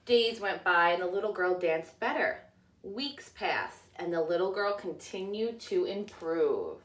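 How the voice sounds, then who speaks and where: A woman narrating a children's storybook as a teacher reading aloud, with deliberate, expressive delivery. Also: lowish voice, far from the mic. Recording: echoey room, away from the mic.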